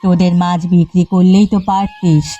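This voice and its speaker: Cartoon village elder sister, played by a woman: kind, firm fisherwoman, mellow tone, music underneath. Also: on the low side, flat delivery, fairly quick, lightly acted. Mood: firm, mildly annoyed. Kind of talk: Bengali cartoon dialogue. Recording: slightly muffled audio with background music. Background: background music.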